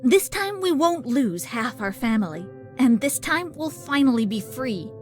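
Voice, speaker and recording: A woman's voice: high voice, very animated, unhurried, soft background music. A woman playing a sardonic, theatrical and exasperated villain rival in a visual novel game. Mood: obsessive, fervent. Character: Kiki, sardonic, dramatic, gun-toting female villain rival.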